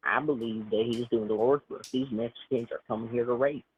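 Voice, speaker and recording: A man's voice: medium pitch, fairly even intonation, brisk, slight background noise. A young man calling in with a put-on Southern US accent, talking provocatively to troll the host. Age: young adult. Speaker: caller. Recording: tinny phone line.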